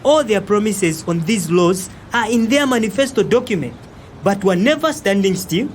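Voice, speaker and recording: An actor playing an indignant young government critic with a Zambian accent, arguing emphatically with frequent interjections. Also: male voice, sits high, animated, normal speed, slight background noise. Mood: insistent. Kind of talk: audio drama acting.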